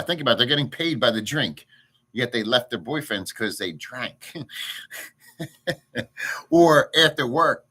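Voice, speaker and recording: A man's voice: medium pitch, bright, forceful tone, slightly rough. A man hosting a casual livestream, ranting bluntly and crudely in a rambling way and answering chat. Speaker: livestream host. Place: home in a rural province.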